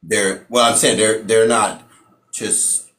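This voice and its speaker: Low-voiced man asking probing questions. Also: steady tone, slow pace, fairly bright tone. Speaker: caller.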